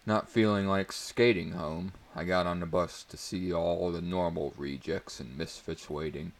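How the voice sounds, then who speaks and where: A man's voice: deep voice, fairly even intonation, a little slow. A man reading a story aloud as narrator in a dramatic audiobook style.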